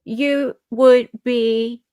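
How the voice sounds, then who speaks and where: Female host, Australian accent, highish voice, unhurried, blunt and incredulous casual commentator. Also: fairly even intonation, warm tone, dry recording, clean studio sound.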